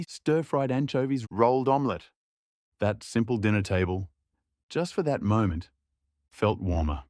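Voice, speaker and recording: A young man narrating a drama in the first person, in a quiet, reflective way. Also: mid-range voice, dry recording, clean studio sound.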